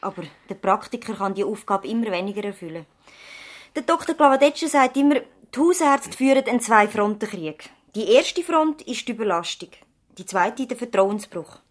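A woman playing an idealistic young doctor's daughter in a 1970s radio drama, with a thoughtful, measured, earnest delivery. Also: on the high side, animated, natural voice. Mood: earnest, concerned. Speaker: actor in a radio play.

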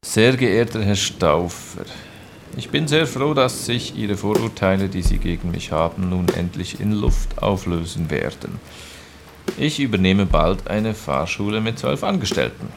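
A man's voice: on the low side, expressive, unhurried, vocal fry, slight background noise. A man reading a formal letter aloud as a courteous, self-assured former tax office chief in a radio play. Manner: polite, formal.

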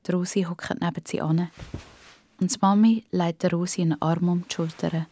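Swiss German female narrator for a children's story, lower register. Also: steady tone, moderate pace, mellow.

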